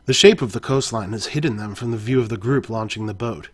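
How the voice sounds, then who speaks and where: A man's voice: on the low side, brisk. A man reading an interactive adventure story aloud in the second person, stopping now and then to correct himself. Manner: second-person narration, occasional self-corrections.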